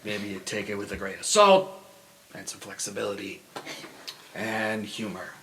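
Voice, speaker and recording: A man's voice: fairly low pitch, level tone, moderate pace, a little hiss or hum. Man playing a chatty, upbeat young guy. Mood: warm, joking. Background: soft background music.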